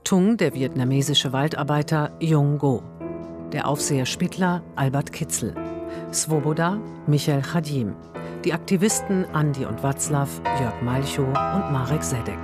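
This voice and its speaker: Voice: mid-range voice, unhurried. An announcer reading the closing credits of a radio drama in a scripted delivery. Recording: music behind the voice. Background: instrumental music.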